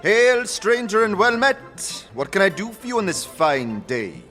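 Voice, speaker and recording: Robust greying faction head played by a man, hearty and genial, raised animated pitch, faint music. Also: a little slow, big-room sound, heavily acted. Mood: hearty welcome. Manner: hearty, grandly welcoming. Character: genial, sturdy older cult leader, hearty and mischievous. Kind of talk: audio drama character acting.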